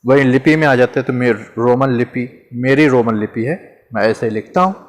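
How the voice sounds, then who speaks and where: A man's voice: mid-range voice, a little fast. A man teaching a Hindi grammar lesson in a casual, chatty way, asking questions and answering them himself. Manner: conversational, repeats examples, asks and answers himself.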